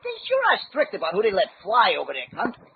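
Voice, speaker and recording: High-voiced, excitable radio-serial sidekick. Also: male voice, fast talker, gravelly, breathy edge, full character voice. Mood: chatty, eager. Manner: slangy, boyish, exclamatory. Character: scrappy, excitable, good-humoured male adventurer sidekick. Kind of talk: old-time radio adventure acting. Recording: tinny narrow-band radio recording with hiss.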